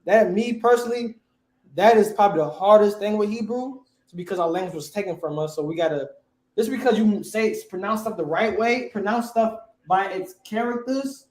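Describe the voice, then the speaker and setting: A man's voice: high-pitched, darkish tone, reverberant, poor audio. A man teaching a class, quizzing his students and repeating key words.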